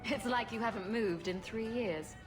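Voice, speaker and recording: An actress playing a wry, loyal rogue heroine in a video game, with a dry, composed delivery. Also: female voice, fairly high pitch, moderate pace, close to an everyday voice. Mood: sarcastic. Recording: music behind.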